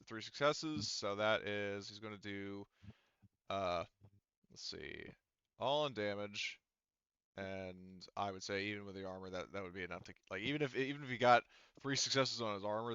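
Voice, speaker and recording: A man running a casual online tabletop roleplaying game as game master, thinking aloud haltingly as he works through the rules. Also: on the low side, bright, forceful tone, clean tone, dry recording, muffled recording.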